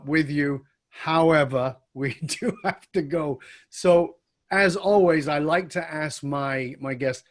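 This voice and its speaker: A man from the UK hosting an interview podcast, talking with enthusiasm as he wraps up with his guest. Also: on the high side. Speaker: podcast host.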